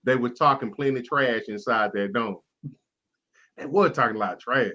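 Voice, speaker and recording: Texan male host, slangy laughing storyteller, bright edge, muffled lo-fi audio. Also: medium pitch, normal speed. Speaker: show host. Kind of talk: casual sports chat.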